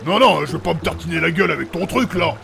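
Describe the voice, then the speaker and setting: Man playing a gravelly, grumpy adventurer. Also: a little high, fast talker. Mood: indignant. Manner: coarse, slangy, irritable. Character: gruff, foul-mouthed, grumpy aging adventurer. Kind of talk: comic audio fiction acting.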